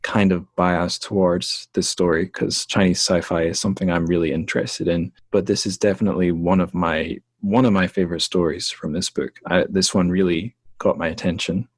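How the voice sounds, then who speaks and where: Low-voiced man hosting a podcast. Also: moderate pace, few pauses, breathy edge, no room sound, studio quality. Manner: relaxed, rambling with fillers and self-corrections. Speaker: podcast host. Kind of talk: casual interview chat.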